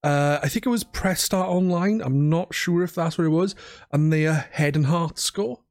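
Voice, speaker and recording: A man hosting a podcast in casual chat, speaking haltingly and repeating words as he goes. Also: a little high, dry recording, studio quality. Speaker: podcast host.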